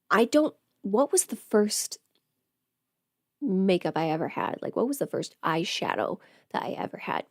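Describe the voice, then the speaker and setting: A woman's voice: lowish voice, dry recording, studio quality. A woman livestreamer chatting casually while getting ready, rambling and interrupting herself as she thinks aloud.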